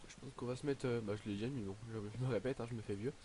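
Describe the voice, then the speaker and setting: A teenage boy talking through his game on a headset at home, hesitant and full of fillers. His voice sits at a middle pitch and is slightly stuffy from a cold. A faint hiss runs under the recording. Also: steady tone, racing, dark tone. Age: teens. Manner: hesitant, lots of "donc, euh" fillers. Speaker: gaming YouTuber. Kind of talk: casual game commentary.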